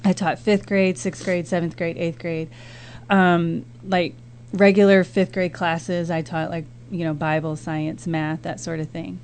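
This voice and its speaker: A woman's voice: on the low side, little pitch movement, unhurried, a little mellow. A middle-aged American woman talking casually as a guest in a radio interview. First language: English. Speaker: guest, missionary and former teacher. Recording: background noise and hum.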